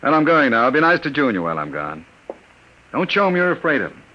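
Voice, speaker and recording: An actor playing a scheming, cruel gangster in a 1950s radio drama, smooth and menacing, often dropping to a low murmur. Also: male voice, sing-song, fast. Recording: dull lo-fi old radio recording.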